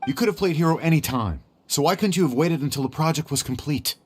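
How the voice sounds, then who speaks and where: An actor playing a self-justifying young scholar in an anime video game, speaking with cold reproach. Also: male voice, a little high, lively intonation, fast talker, breathy edge. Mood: reproachful.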